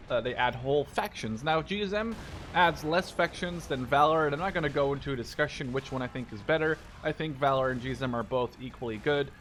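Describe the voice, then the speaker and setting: Higher-voiced young man doing game commentary. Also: a bit bright, a little hiss or hum. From Netherlands. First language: Dutch. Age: 20s. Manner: rambling, digressive, informal with mild swearing. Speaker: gaming video creator. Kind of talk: casual gameplay commentary.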